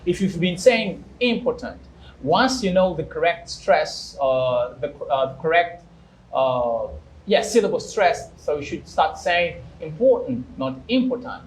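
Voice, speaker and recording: A male interviewer who probes and presses, asking the same question again until he gets an answer. His voice is a little high for a man. Faint background noise sits under the talk. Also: very animated, unhurried, rough recording. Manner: probing, presses with repeated questions. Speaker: interviewer, English teacher.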